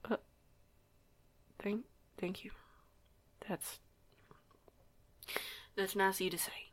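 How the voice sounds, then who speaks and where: A woman's voice: mid-range voice, little pitch movement, slow pace, close to an everyday voice. A woman playing a curious, stubborn teenage werewolf girl in an audio roleplay, with a chatty, rambling, self-correcting way of talking. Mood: bashful. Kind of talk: audio roleplay acting, casual conversation.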